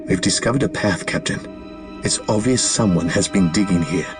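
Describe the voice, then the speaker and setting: An alert marine acted by a man reports to his superior in a deep voice. His delivery is dutiful and military, with game cutscene music clearly behind him. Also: moderate pace, natural voice. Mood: alert, reporting. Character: alert, dutiful male space marine soldier.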